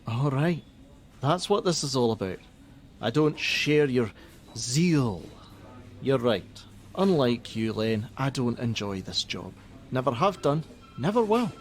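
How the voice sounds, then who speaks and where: A man acting a gruff, jaded helpline worker. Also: medium pitch, very animated, slow pace. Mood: bitter. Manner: blunt, sardonic, defensive. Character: jaded, gruff, hot-tempered male crisis-line worker and father. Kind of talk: naturalistic radio play acting.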